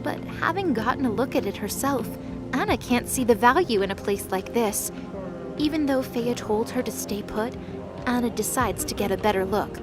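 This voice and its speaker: High-voiced woman narrating a dramatic story. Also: animated, moderate pace. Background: music.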